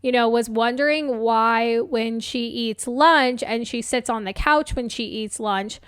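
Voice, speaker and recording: Young woman coach chatting on her podcast, a bit hoarse, smooth voice, dry close mic. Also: fairly high pitch, measured pace, dry recording. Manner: chatty, upbeat, laughs often, many fillers. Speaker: podcast host and life coach. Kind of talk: casual solo podcast talk.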